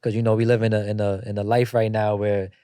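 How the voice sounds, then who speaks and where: A man's voice: on the low side, flat delivery, fast, dark tone, clean tone, no room sound. A man who manages a podcast, telling its story casually and stuttering now and then. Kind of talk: casual chat.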